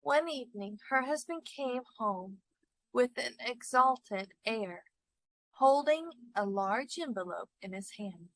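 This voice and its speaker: A woman reading a literary short story aloud with deliberate, expressive, dramatic phrasing. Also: fairly high pitch, low-quality recording. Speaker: story reader.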